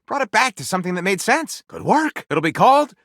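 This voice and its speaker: A theatrical video game storyteller with a highish, widely swooping voice. He narrates in a mock-dramatic, literary way and adds eager comic commentary. The sound is dry and close. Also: very animated, brisk, vocal fry, fairly bright tone, dry recording, heavily acted. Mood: enthusiastic. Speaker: video game character voice actor.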